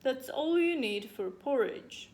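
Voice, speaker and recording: A woman's voice: high-pitched, expressive, slow, a little mellow, pitched above the performer's own voice. A woman voicing a homely, practical mother bear in a storybook, speaking plainly and with emphasis. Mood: matter-of-fact.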